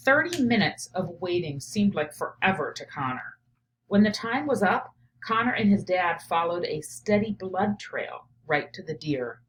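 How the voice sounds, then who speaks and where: Animated woman reading and thinking aloud. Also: on the low side, measured pace, slight background noise, rough recording. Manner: reflective, thinking out loud, often checking with "right?". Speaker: professor and teacher reading aloud. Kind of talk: read-aloud of a picture book with think-aloud commentary.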